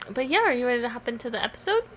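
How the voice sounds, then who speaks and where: A woman co-hosting a podcast in casual chat, hoarse from swollen tonsils, rambling with lots of "like" and "um". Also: fairly high pitch, very animated, rapid-fire, fairly bright tone, a little hiss or hum. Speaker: podcast co-host.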